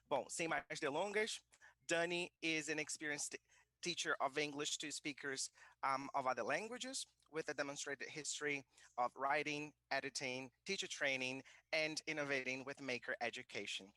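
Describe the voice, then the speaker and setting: A man's voice: on the high side, bright, forceful tone, dry recording. A man hosting a live online workshop from a makerspace. He gives formal thanks and introductions and reads the guest's bio in Portuguese and English. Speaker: workshop host.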